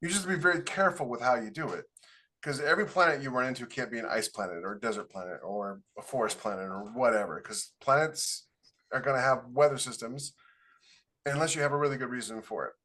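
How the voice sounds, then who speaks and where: A man's voice: mid-range voice, fast, pushed and bright. A man with an American accent talking as a podcast co-host, explaining his points informally in a casual panel discussion.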